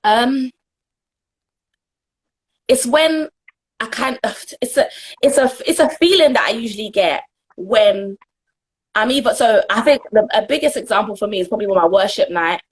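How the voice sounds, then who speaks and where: Husky-voiced young woman, earnest and giggly. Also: fairly high pitch, lively intonation, normal speed, long pauses. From Jamaica. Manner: earnest and giggly, trails off and restarts. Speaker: guest. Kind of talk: casual interview answers.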